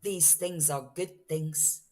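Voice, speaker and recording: A woman voicing a breezy, mischievous cat in a children's storybook read-aloud, coaxing and reassuring with drawn-out words. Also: low voice, steady tone, warm tone, soft background music, voice pushed down.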